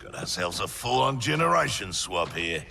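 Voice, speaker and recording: An actor playing a gruff, cocky male mercenary villain who taunts and sneers in a video game cinematic. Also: on the low side, sing-song, normal speed. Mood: smug.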